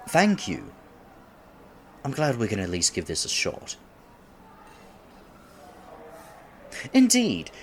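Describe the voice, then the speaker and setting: A man's voice: medium pitch, a little hiss or hum. A man in his twenties playing a nervous, polite young silver fox kitsune who wants to seem mature, in an ASMR date roleplay, speaking formally with stammers. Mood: relieved, showing off. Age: 20s. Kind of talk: ASMR roleplay, scripted one-sided date conversation.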